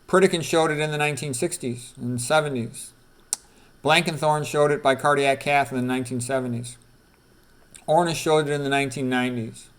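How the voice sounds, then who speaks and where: A man's voice: medium pitch, steady tone, fairly quick. Male, middle-aged or older, mid-range voice. Doctor presenting book quotes off the cuff, emphatic, repeats key words. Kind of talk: informal read-aloud with commentary.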